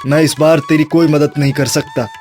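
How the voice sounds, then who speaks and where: Guy doing an earnest good-brother type from a cartoon, high voice, faint music behind. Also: very animated, fairly quick, darkish tone. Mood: firm, reproachful. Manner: earnest, firm. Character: righteous, protective good brother, firm but caring young villager. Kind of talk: Hindi cartoon moral story dub.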